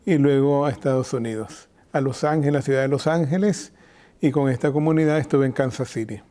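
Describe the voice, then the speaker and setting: An older Nicaraguan man speaks with a very mellow, relaxed tone at an average pitch. He explains things in a measured, reflective way, as a bishop being interviewed. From Chinandega, Nicaragua.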